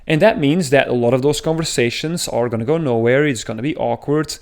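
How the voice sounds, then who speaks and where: A man with a thick Belgian accent speaks straight to the viewer in an advice video. He sounds earnest and explanatory, leaning on frequent little filler sounds. His pitch sits in the ordinary middle range for a man. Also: fairly quick, a little mellow. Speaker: dating coach. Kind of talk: talking-head advice video.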